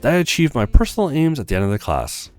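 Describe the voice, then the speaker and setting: A studious, helpful advisor from a visual novel, read out by a man. The delivery is very animated in pitch, with faint music behind it. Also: fast talker, close to an everyday voice. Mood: instructive. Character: helpful, studious advisor, easily flustered, giving teaching pointers.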